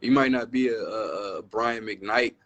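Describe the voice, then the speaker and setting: A man with a deep voice, a musician answering interview questions in a relaxed, reflective way. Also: measured pace, lo-fi sound. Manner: relaxed, reflective, says "you know" often.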